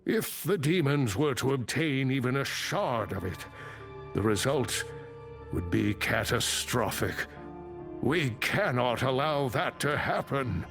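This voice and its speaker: A man playing a wise, grave old scholar of demon lore in a video game, with a measured, theatrical, formal delivery and a gravelly voice. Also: mid-range voice, expressive, fairly bright tone, soft background music. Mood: grave warning. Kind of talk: dramatic video game voice acting.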